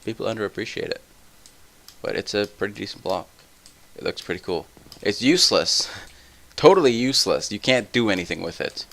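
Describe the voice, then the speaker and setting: Tired young man rambling, gravelly low voice. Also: lively intonation, fairly quick, a bit bright. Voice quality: somewhat deep voice. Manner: rambling, ranting, full of tangents. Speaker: let's play host. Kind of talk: rambling gameplay commentary.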